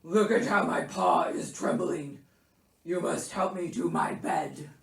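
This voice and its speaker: Woman doing a loud, grumpy, melodramatic sick bear. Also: on the low side, exaggerated voice. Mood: ailing, pompous. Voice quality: gruff, growly. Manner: loud, pompous, melodramatic, drawn-out. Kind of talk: children's storybook character voice. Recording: roomy echo.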